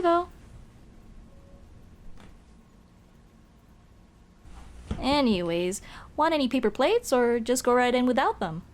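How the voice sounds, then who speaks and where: A woman playing a young tomboy childhood friend in an ASMR roleplay, speaking in casual boyish slang with hesitant pauses. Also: fairly high pitch, slight background noise. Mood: playful, teasing. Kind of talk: ASMR roleplay, intimate one-sided dialogue.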